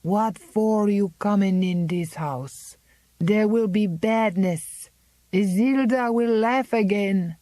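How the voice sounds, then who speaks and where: A grim, gnarled old servant woman, as a woman reader puts her on, chanting her warnings in a slow, ominous sing-song. She speaks broken English sprinkled with French words, in a raised, dragging voice. The recording is close and dry. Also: mid-range voice, warm tone, no room sound, pitched above the performer's own voice, lightly acted.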